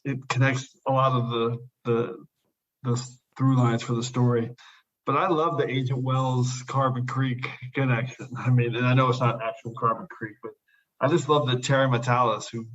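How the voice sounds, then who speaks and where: A man hosts a casual podcast chat at an average pitch, gushing with eager praise and doubling up his words. His voice comes through a little dull and lo-fi. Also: a bit bright. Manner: enthusiastic, repeats praise words. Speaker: podcast host.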